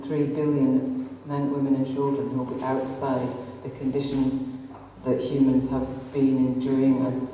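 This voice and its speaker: A woman's voice: rumbling low, mellow, slight background noise. Earnest Greater Manchester woman in echoey hall. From North West England. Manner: earnest, impassioned, with frequent ums and rhetorical questions. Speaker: activist speaker. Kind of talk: public talk to an audience. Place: arts centre hall. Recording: distant echoey hall recording.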